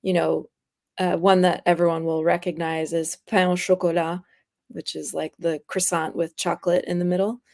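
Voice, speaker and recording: A woman's voice: lowish voice, level tone, normal speed, gravelly, a little mellow. A young woman guest chatting casually in an interview, rambling with frequent laughs. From United States, raised partly in France. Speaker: interview guest, graphic artist.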